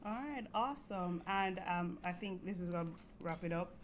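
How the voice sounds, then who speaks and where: A woman's voice: fairly low pitch, moderate pace, slight background noise. A woman hosting a student interview program in a studio, asking questions in a friendly, conversational way.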